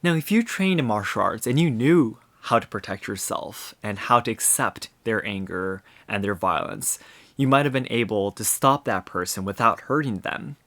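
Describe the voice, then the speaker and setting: Male podcast host, lowish voice, earnest and reflective, works through his points examples. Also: animated, normal speed, a bit bright. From Japan. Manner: earnest, thoughtful, explains with examples and frequent "you know".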